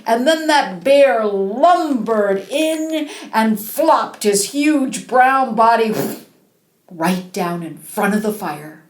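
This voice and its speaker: Female children's storyteller, fairly high voice, unhurried, dramatic emphasis, roomy echo. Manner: dramatic, drawn-out emphasis, animated. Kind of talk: expressive fairy-tale storytelling for children. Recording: roomy, echoing space.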